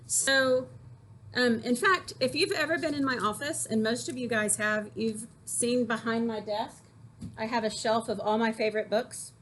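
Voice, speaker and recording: A woman's voice: a little high, normal speed, fairly bright tone, a little hiss or hum. A woman teacher talking casually to children about poems, in a conversational way that puts questions to listeners. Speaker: teacher reading to pupils.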